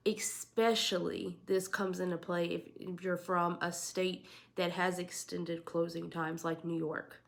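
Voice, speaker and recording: A woman with a fairly low voice, slightly hoarse and raspy from being sick, talks straight to her viewers. She hosts casually, handing out practical advice in a chatty way and leaning on emphatic words. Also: flat delivery, measured pace, few pauses. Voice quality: a little raspy. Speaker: realtor and channel host. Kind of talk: casual talk to camera, advice. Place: at home, indoors.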